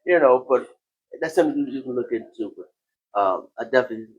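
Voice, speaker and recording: A man hosting a podcast in a casual conversational style, animated, often repeating phrases and breaking easily into laughter. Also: mid-range voice, fairly quick, muffled recording. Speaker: podcast host.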